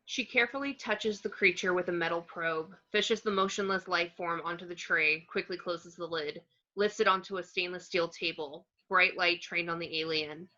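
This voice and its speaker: A woman's voice: fairly low pitch, steady tone, moderate pace, bright, forceful tone. A woman narrating a screenplay's action descriptions in a scripted read. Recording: dull, lo-fi home recording.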